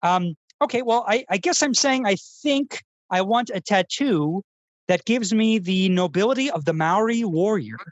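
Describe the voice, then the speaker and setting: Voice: mid-range voice, animated, moderate pace, no echo, natural voice. A performer playing an earnest, self-serious male public radio host who speaks in a pensive, pompous, awkwardly polite way, as in a scripted feature parody.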